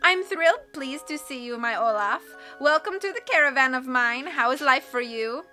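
A woman narrator playing a wheedling storybook fortune-teller with a thick foreign accent. Her grammar is broken and her voice is high and bright, over light music. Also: animated, moderate pace. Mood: fawning. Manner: broken syntax, peppers speech with "please".

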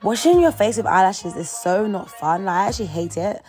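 A woman's voice: mid-range voice, lively intonation, a little fast, a little mellow, faint music behind. A woman in her early twenties with a London accent, chatting casually in a slangy, playful way as she narrates a vlog. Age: early 20s. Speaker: vlogger.

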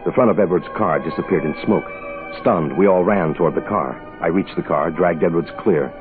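Man playing a very deep hard-boiled radio detective. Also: a little fast, over music, natural voice. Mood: terse. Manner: terse noir narration. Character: hard-boiled, world-weary male private investigator. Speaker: actor narrating as detective. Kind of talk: 1960s radio detective drama acting. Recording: muffled, noisy 1960s radio recording.

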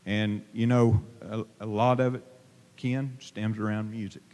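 A middle-aged man preaching an informal sermon from a pulpit, plain-spoken and halting, with many fillers and restarts. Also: lowish voice, little pitch movement, dark tone. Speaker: lay preacher. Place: church sanctuary, at a pulpit. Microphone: handheld microphone held to mouth. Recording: long reverb of a church hall.

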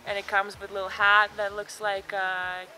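A young woman, a guest skier in a casual outdoor interview, talking playfully with many filler pauses. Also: mid-range voice, level tone, pushed and bright, slight background noise, poor audio. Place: outdoors on a snowy ski trail.

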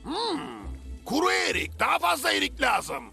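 A man playing an eccentric, excitable old grandfather inventor in a dubbed cartoon, with a creaky voice. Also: very high voice, unhurried, faint music behind, heavily acted. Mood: muttering, absorbed. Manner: excitable, animated, muttering to himself. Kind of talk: animated series dub acting.

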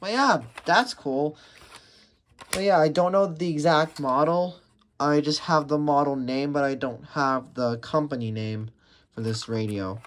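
A man's voice: fairly high pitch, unhurried. A man giving a casual, hesitant, rambling unboxing commentary, often restarting his sentences. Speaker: vlogger unboxing radios. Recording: noisy home recording with handling clicks.

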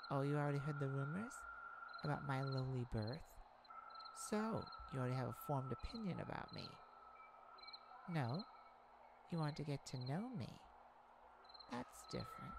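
A woman's voice: extremely low pitch, gravelly, background noise, heavily acted. A woman playing a weary, proud and guarded young half-blood princess in a scripted roleplay audio drama, speaking in formal, wistful phrases. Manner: formal, measured, wistful storybook speech.

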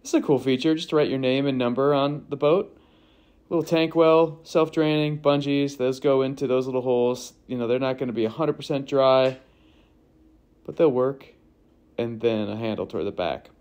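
A man's voice: medium pitch, moderate pace, a little mellow. A man narrating a walkthrough of a kayak's features in an easygoing manner, listing them in short phrases. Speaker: kayak reviewer.

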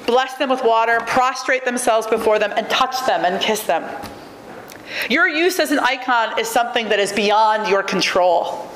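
A woman's voice: on the high side, a bit bright. Woman lecturing in an echoey auditorium. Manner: measured, wry, emphatic reading at a podium. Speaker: keynote lecturer. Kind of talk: reading a prepared academic lecture. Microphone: podium microphone. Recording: echoey auditorium, faint room noise.

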